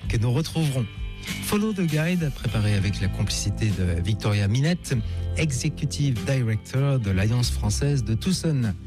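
Male radio host, travel show interviewer, average pitch, conversational delivery, music underneath. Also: animated, moderate pace.

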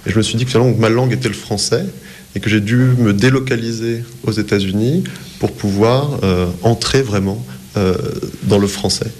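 Hesitant low-voiced Parisian man. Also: fairly even intonation, a little fast, darkish tone, slight background noise. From France, Paris. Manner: hesitant, searching for words with many restarts. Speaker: author being interviewed. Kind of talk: reflective interview answers.

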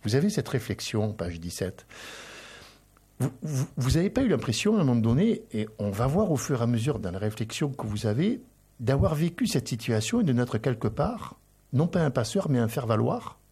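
Host guy with a breathy husky voice, reads out book bits, long questions. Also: mid-range voice, very animated, a little fast, dark tone. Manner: reads passages aloud, asks long questions. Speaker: interviewer, host. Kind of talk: interview, reading book passages aloud.

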